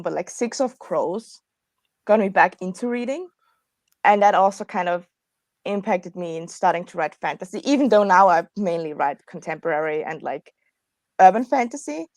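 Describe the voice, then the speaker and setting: A woman's voice: fairly low pitch, expressive, moderate pace, no echo. Young woman, dry close sound, rambling chat. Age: young adult. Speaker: panelist in a writers' livestream. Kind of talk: casual chat.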